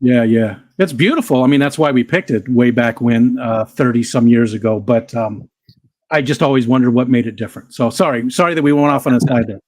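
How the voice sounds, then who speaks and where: Laid-back male host, mid pitch, dry close sound. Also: fairly quick, darkish tone, dry recording. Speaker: livestream host. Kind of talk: casual interview chat.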